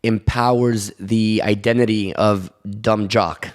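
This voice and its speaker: A man's voice: on the low side, steady tone, slow pace, clean tone. Blunt, casual podcast co-host, a man.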